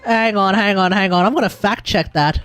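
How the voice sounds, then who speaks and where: A man with an Australian accent giving casual, excitable, joking live commentary on a game, repeating himself for emphasis. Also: high-pitched, brisk, soft background music. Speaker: streamer.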